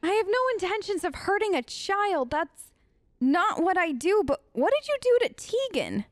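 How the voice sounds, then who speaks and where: A woman's voice: extremely high pitch, sing-song, moderate pace, dry recording, clean studio sound. A woman giving casual, playful let's play commentary, chatty and exclaiming, with game sounds behind her. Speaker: gaming host. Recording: loud game noise behind voice. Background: game sounds and ambience.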